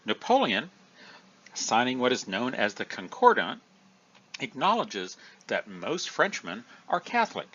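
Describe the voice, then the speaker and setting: A man teaching a recorded history review lesson, explaining in a lively, emphatic way. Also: medium pitch, measured pace, slightly rough, dull audio.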